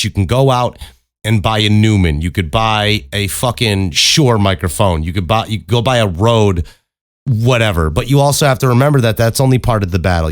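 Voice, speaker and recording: Deep-voiced man, blunt podcast host. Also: dry recording, studio quality. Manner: blunt, emphatic, swearing freely. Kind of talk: casual podcast monologue giving advice. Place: acoustically treated home recording room. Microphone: close studio condenser microphone.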